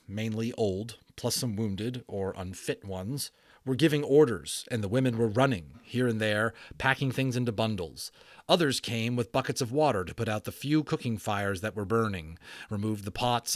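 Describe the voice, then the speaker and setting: Male audiobook narrator, lower register, expressive storytelling with a conversational touch, very dry sound. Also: no room sound. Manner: expressive, emphatic, breaks off to explain to listeners. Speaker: audiobook narrator and channel host. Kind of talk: dramatic storytelling read-aloud with casual asides.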